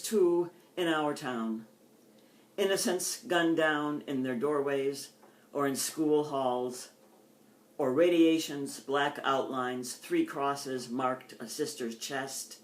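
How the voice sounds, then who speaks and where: An older woman with an American accent, a poet reading her own poems in deliberate phrases and introducing them informally. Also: very deep voice. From United States.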